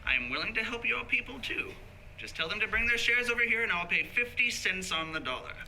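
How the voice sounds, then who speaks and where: A man's voice: high-pitched, expressive, a little fast, sharp tone, exaggerated voice, rough recording. A man playing a scheming, falsely solicitous rich old banker in a 1940s style radio play. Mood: scheming, threatening. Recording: live stage recording with background noise.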